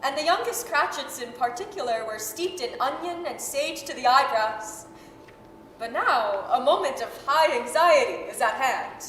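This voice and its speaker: A woman with a high voice tells a story in old-time radio drama style. Her scripted narration rings in a hall with a long reverb and faint noise. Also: expressive, moderate pace, fairly bright tone.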